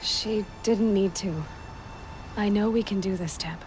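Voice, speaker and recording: A woman's voice: medium pitch, a little slow, music in the background, close to an everyday voice, low-quality recording. A woman playing a determined, plain-spoken young warrior in a video game, talking directly. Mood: calm, resolute. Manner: direct, resolute, unadorned. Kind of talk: video game cutscene acting.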